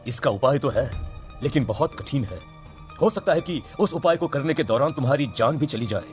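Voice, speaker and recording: A man's voice: mid-range voice, lively intonation, a little fast, soft background music, lightly acted. A man playing a grave old village priest in a horror cartoon, solemn and emphatic as he gives warnings. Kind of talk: Hindi horror cartoon voice acting.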